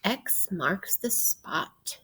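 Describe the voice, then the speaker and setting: Female teacher for children's read-aloud: slightly raspy, animated pitch, expressive, guiding delivery with questions. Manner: expressive, guiding with questions and pauses for young listeners. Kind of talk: interactive read-aloud of a children's nonfiction book.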